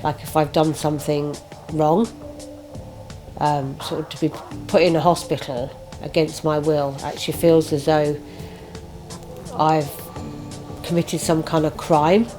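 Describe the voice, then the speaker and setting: A woman's voice: low voice, flat delivery, measured pace, long pauses, music in the background. A woman giving unscripted interview testimony in a tentative, hedging manner. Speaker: interviewee, former detained patient.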